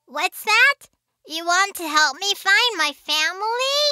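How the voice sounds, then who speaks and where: A woman voicing a sweet, excitable little shark child in a children's cartoon, speaking in simple exclamations with a small childlike voice. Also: very high-pitched. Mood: earnest, hopeful. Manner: slow, exclamatory, simple phrasing. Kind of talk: children's cartoon acting, addressing young viewers. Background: children's music.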